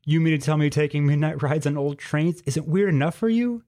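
A man's voice: a little high, fairly quick, dark tone, pitched above the performer's own voice, lightly acted. A man voicing a nervous, sarcastic teenage male bird in a story reading, with a fretful manner. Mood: indignant. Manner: indignant, fretful.

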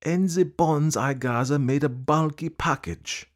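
A man voicing a fussy, precise male detective who asks polite, probing questions in a deliberate way. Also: on the high side, voice pushed up, close to an everyday voice.

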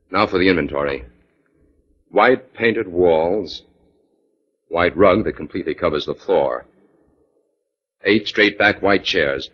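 Deep-voiced skeptical scientist sleuth. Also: male voice, a bit bright. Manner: measured, dryly skeptical. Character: calm, skeptical male scientist detective, crisp and authoritative. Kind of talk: 1940s radio acting. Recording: dull vintage 1940s radio recording.

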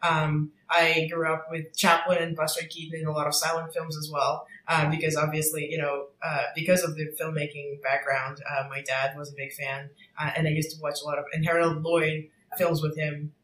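Young woman, bright, tense voice, hesitant with fillers, roomy echo. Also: sits low, flat delivery, moderate pace, few pauses. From Russia. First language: Russian. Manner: thoughtful, hesitant with many fillers. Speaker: podcast guest. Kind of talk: casual chat.

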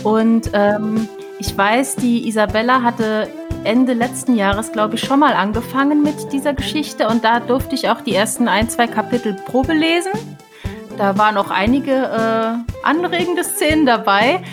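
A woman's voice: fairly high pitch, measured pace, music in the background. A woman co-hosting a podcast in a casual, chatty style. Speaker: podcast co-host.

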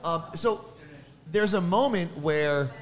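A man's voice: sits high, slow pace. A young man hosting a live podcast before an audience, chatty and joking. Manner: laughing, jokey banter. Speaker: radio host.

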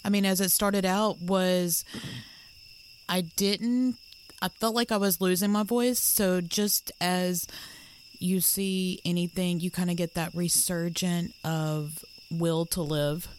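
A woman's voice: fairly low pitch, fairly even intonation, slow pace, slight background noise. A woman co-hosting a podcast, telling her own story in an earnest, searching way, often restarting her sentences. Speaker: podcast co-host. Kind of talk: personal storytelling in conversation.

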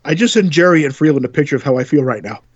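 A husky man with a speech impediment, swearing and rattling through banter on a homemade podcast, slightly muffled. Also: fairly high pitch, expressive, rapid-fire. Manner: foul-mouthed, blunt, repeats himself. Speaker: co-host. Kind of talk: casual banter. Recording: dry, slightly dull lo-fi sound.

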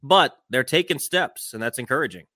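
A man's voice: medium pitch, expressive, normal speed, pushed and bright, no echo. Male sports co-host, dry close sound. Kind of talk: conversational sports analysis.

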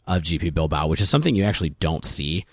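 A man with a very low voice talks through figures at length on a podcast. He is thoughtful and casual, recorded close with a dry, dead sound. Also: sing-song, quick pace, no echo. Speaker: podcast co-host.

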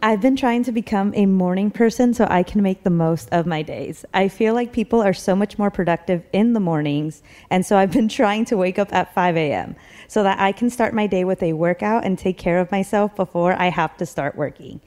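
A woman's voice: medium pitch, fairly quick, warm tone. A woman in the audience at a live event, reading a personal question aloud.

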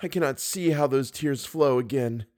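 A man reading an audiobook, voicing a frightened young woman opera singer in a raised, hushed, pleading voice. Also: a little high, animated, normal speed, voice pushed up, lightly acted. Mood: fearful. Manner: fearful, pleading, breathy hush.

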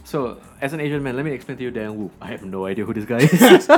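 A man's voice: medium pitch, wildly swooping pitch, fast talker, faint music behind. A man from Singapore with a Singaporean English accent, co-hosting a casual film review podcast and teasing his co-host with jokes. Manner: joking, teasing, calls his co-host "sir".

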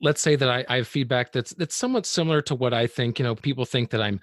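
A male podcast host with a baritone voice, asking friendly questions and restarting them as he works out what he wants to say. The recording is dry and close, with no room sound. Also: fast talker, dry recording. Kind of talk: conversational interview.

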